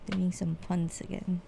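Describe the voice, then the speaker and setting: Slow-talking, creaky-voiced woman streamer. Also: lowish voice, dark tone, slight background noise. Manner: unhurried, musing in short fragments. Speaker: game streamer. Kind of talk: casual live gameplay commentary. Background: game music.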